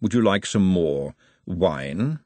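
Man acting urbane, wry, measured male ruler. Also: deep voice, animated, mellow, no echo, exaggerated voice. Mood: wry, unruffled. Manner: measured, formal, dryly understated.

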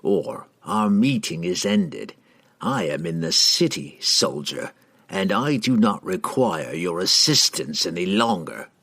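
Storybook killer, a man acting: deep, hushed growl, slow and clipped, icy contempt in a fantasy tale. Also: big pitch swings, creaky, full character voice. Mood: cold threat. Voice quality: low growl. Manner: slow, clipped, quiet menace. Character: cold, menacing male assassin, curt and contemptuous.

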